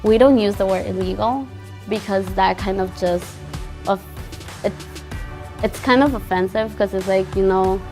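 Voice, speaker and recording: A woman's voice: medium pitch, moderate pace, pauses often, a little mellow, faint music behind. A young woman from Ecuador speaking thoughtfully in a documentary interview. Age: young adult. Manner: thoughtful, with fillers like "you know".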